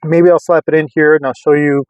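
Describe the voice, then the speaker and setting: A man's voice: fairly high pitch, level tone, quick pace, a little mellow, clean tone, no echo. A middle-aged man talking casually to camera as he tests gear, rambling and circling back to restate his points. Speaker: radio enthusiast vlogger. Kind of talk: casual rambling product test talk to camera. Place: in a vehicle on a road test.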